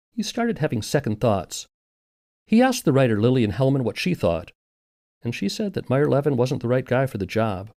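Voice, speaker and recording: A man's voice: medium pitch, a little mellow. A man narrating a scripted documentary podcast in a conversational, wry manner.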